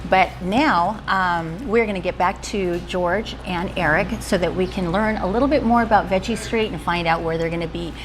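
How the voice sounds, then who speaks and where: A woman's voice: lowish voice, moderate pace, few pauses. A woman co-hosting an interview, bubbly and enthusiastic. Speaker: co-host. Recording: noisy on-location restaurant recording.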